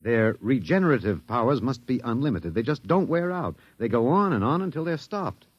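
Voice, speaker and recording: Detached American intellectual, wry, musing pauses, swooping intonation, dull old 1950s radio recording. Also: male voice, medium pitch, very animated, dry recording. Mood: musing, resigned. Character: wry, detached male academic, philosophical and resigned. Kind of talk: 1950s radio drama acting.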